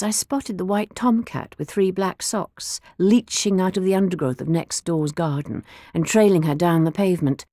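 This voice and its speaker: A woman narrating an audiobook in the first person with measured, expressive storytelling. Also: lowish voice, creaky, no room sound, studio quality. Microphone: close studio mic.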